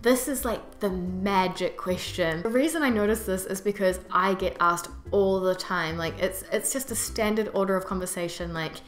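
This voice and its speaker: A woman's voice: mid-range voice, normal speed, few pauses. Casting: young New Zealand woman, chatty expat vlogger, emphatic delivery, roomy sound, music underneath. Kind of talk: casual to-camera vlog chat. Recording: roomy echo with music behind. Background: background music.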